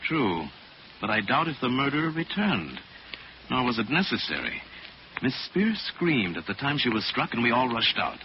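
Muffled vintage radio drama, man playing mystic sleuth hero, calm, commanding, expressive delivery, mid-pitched. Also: natural voice. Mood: calm, deliberate. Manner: measured, authoritative. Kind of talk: old-time radio drama acting. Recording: dull, noisy old radio recording.

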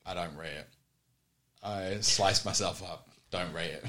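Young guy, lowish voice, wry sweary podcast chat. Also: unhurried, pushed and bright. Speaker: podcast co-host. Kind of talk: casual chat.

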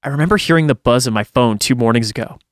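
A man's voice: medium pitch, fast, no room sound, studio quality. A man reading a first-person horror story aloud in a dramatic storytelling style, as a podcast host would.

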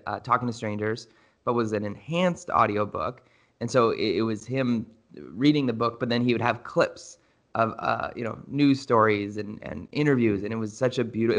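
A man's voice: on the low side, fairly bright tone. Enthusiastic man hosting a casual interview. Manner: enthusiastic, hedging with fillers. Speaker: podcast host.

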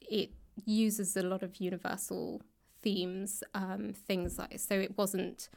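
A woman answering as a guest in a podcast interview, speaking conversationally in a thoughtful, hesitant way with frequent pauses. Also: mid-range voice, little pitch movement, creaky, a little mellow. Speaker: guest author and actor. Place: library room.

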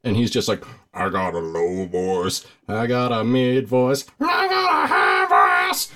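A man with a fairly low voice talks through a casual music podcast. He rambles with plenty of fillers and stops to act out silly mock quotes. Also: huge pitch swings, slow pace, pushed and bright, raised voice. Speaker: podcast co-host.

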